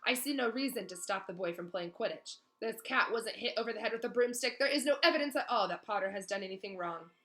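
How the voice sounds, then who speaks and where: A woman acts out a brisk lady witch professor from a storybook. She is fair but sharp and no-nonsense, with a forceful, bright tone. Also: mid-range voice, lightly acted.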